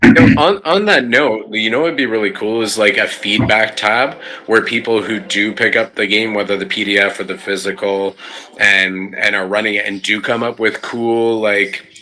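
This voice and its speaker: Enthusiastic, bright-voiced man chatting. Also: on the low side, steady tone, moderate pace, low-quality recording. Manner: informal, enthusiastic, slangy. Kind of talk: casual chat.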